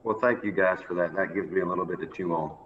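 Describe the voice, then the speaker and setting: A man's voice: sits low, quick pace. A man casually asking a question as an attendee, over a tinny remote connection. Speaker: audience member asking a question. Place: remote call. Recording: tinny, phone-like call with noise.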